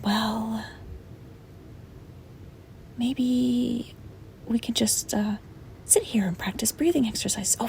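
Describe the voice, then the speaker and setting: A woman playing a reluctant, apologetic sleep paralysis demon in a soft, hesitant, breathy ASMR whisper. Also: medium pitch. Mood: tentative, soothing. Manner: hesitant, rambling, soft-spoken. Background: rain and wind.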